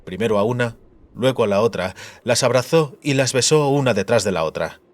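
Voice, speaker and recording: Male fantasy narrator: medium pitch, animated storytelling, scripted, dramatic. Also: a little fast, no room sound. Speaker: audiobook narrator. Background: music.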